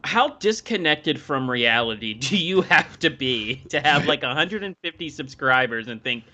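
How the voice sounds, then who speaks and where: A man's voice: mid-range voice, expressive, normal speed, pushed and bright. A man swearing freely and sounding sarcastic while co-hosting a stream reaction. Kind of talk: casual banter. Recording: dull lo-fi mic.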